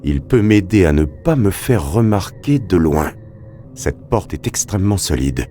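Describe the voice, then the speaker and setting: A man playing a grave adventurer hunting demons in a video game, speaking with formal, deliberate, theatrical diction. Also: low voice, lively intonation, warm tone, a little hiss or hum. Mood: measured, observant. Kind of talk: video game voice acting, narrated observations.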